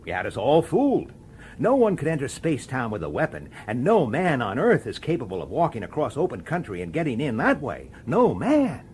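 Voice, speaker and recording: Radio drama detective: determined, insistent and cornered, highly varied intonation, slight background noise. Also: male voice, wildly swooping pitch, brisk, a little mellow. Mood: triumphant, insistent. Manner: insistent, accusatory, clipped sardonic questioning. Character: dogged, defiant male police detective, framed and determined.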